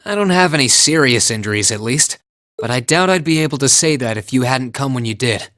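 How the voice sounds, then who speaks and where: A man voicing a gentle, earnest teenage hero in an anime-style game, soft-spoken, polite and sincere. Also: medium pitch, lively intonation, a little fast, no echo, clean studio sound, lightly acted.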